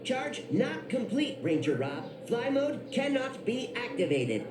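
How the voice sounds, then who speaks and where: A little helper robot reads out clipped status reports. The voice sits high and swoops around. It is heard from off-mic in a roomy space, with loud music behind. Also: female voice, very animated, measured pace, far from the mic, heavy background noise. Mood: robotic.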